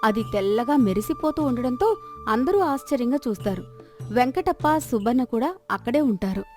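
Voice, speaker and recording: A woman's voice: on the high side, expressive, moderate pace, darkish tone, soft background music. A woman narrating a scripted moral folk tale as a storyteller.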